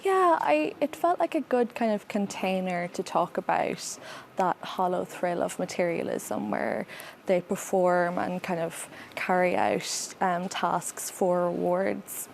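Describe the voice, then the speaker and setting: A woman author answers interview questions calmly and thoughtfully. Her voice has a gravelly edge, with faint noise in the background. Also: fairly low pitch, slow. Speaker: debut novelist guest.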